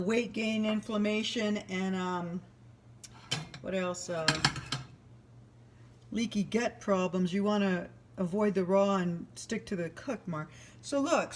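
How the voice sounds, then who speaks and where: A woman in her fifties talks through a recipe in her home kitchen. She is chatty and rambling and laughs easily, and her voice sits a bit high. The camera mic picks up a faint hiss behind her. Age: 50s. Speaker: home cooking vlogger. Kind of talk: casual cooking demo talk.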